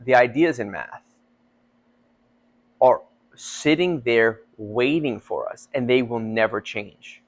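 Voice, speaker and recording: A man's voice: medium pitch, slow pace, long pauses. Young guy on a podcast, rambly, keeps saying like and right. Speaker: podcast co-host. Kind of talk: casual chat.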